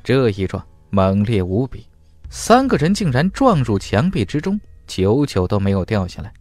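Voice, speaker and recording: Animated male audiobook narrator. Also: fairly low pitch, normal speed, no echo. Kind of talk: dramatic scripted fantasy narration. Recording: dry studio voice over music.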